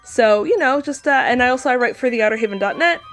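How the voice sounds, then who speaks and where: A woman chatting casually as a voice actor guest on a podcast, with an animated, jokey manner. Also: a little high, fast talker, soft background music. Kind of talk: casual chat.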